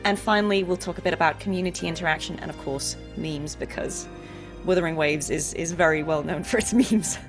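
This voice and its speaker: Low-pitched female interview host. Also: brisk. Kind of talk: casual interview. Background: faint music.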